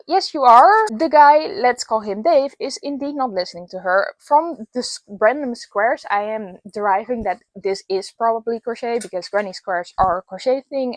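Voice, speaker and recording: A young woman hosts a casual commentary, reading posts aloud and then explaining her view. Her voice sits a little high, and she talks in a chatty, explanatory way that jumps into emphatic bursts. The sound is dry and close, with no room around it. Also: no echo. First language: Dutch. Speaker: video host.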